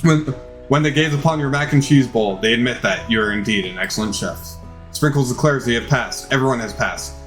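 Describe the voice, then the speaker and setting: A man's voice: medium pitch, fairly quick, a bit bright. Male game-playthrough narrator, mid-range voice, theatrical read with small stumbles, music underneath. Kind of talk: dramatized reading of game text. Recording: music behind the voice.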